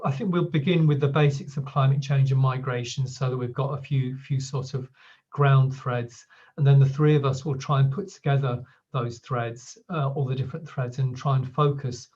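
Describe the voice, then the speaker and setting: Brief: male event host, mid-range voice, halting and filler-heavy, dull lo-fi stream audio. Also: medium pitch, a little mellow. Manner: loose, halting, with many fillers. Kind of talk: hosting an online talk.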